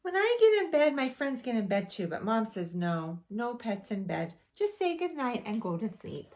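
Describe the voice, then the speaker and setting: A young woman teaching preschool, reading a picture book aloud to children in a lively storytime voice. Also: moderate pace. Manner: animated storytime voice with big pitch swings.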